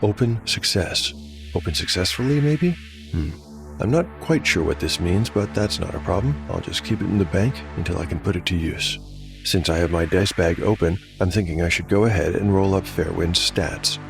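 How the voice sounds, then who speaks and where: Deep, gravelly male game narrator. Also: normal speed, over music. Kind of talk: scripted narration of a solo tabletop fantasy game.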